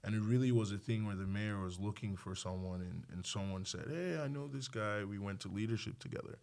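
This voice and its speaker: A man's voice: low-pitched, level tone, fast talker, warm tone. A man from Nigeria, chatting casually as a doctor and podcast guest in a self-deprecating, joking way. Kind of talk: casual interview chat.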